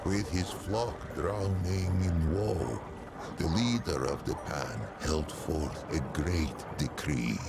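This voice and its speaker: A man voicing an ancient, weary, non-human priest of the dead in a video game, with a gravelly voice and a slow, formal, archaic, musing manner. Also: lively intonation, heavy background noise, exaggerated voice. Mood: grave storytelling. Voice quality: deep gravelly creaking voice. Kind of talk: theatrical video game character dialogue. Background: music.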